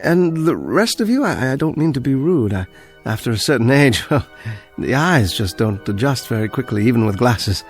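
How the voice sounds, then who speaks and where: An actor voices a polite, elderly librarian, the kind of man who stammers and apologizes for everything. His pitch leaps and dips all over as he frets in this radio drama. Quiet music sits low behind him. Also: sing-song, moderate pace, close to an everyday voice. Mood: flustered. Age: older. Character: timid elderly male librarian, polite and flustered.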